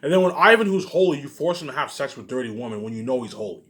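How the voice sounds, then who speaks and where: Male vlogger, medium pitch with big swings; rambling, accusatory rant, repetitive and profane. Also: lively intonation, fast talker, a bit bright. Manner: emphatic and repetitive, punctuated with muttered tag words. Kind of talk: rambling, ranting monologue addressed to viewers.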